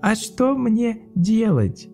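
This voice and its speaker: A man voicing a small shy fuzzy animal in a high, timid, childlike tone. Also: very animated, slow pace, creaky, warm tone, soft background music, full character voice. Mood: meek.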